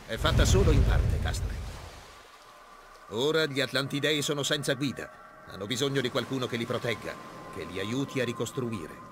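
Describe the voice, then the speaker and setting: A man playing a calm, noble, fatherly guide in an Italian video game dub, speaking in a measured, solemn way. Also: mid-range voice, music in the background, natural voice. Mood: gentle, grave.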